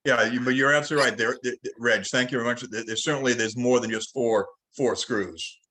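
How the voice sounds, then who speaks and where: A man's voice: mid-range voice, steady tone, fast talker, pushed and bright. A man moderating a technical club call, checking details and often repeating the start of his words.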